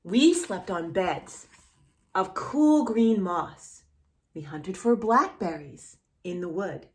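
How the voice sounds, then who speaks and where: A woman storyteller reads to little kids at an unhurried pace, with a highly animated, swooping voice. She is playful and expressive, talking straight to the child as if waiting for answers. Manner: playful, expressive, exaggerated reactions, addresses the child directly. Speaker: children's storyteller and channel host. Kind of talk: read-aloud children's story with questions to young listeners.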